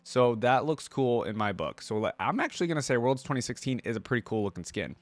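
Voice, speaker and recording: A man's voice: mid-range voice, lively intonation, fast talker, dry recording. A man giving casual reaction commentary as a video host, informal and slangy, thinking aloud as he goes.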